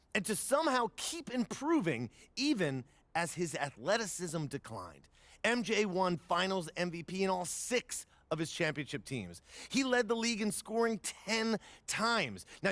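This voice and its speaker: A man playing an opinionated sports TV commentator, delivering an emphatic, dramatic monologue with deliberate phrasing. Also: sits high, fairly bright tone, dry recording, exaggerated voice.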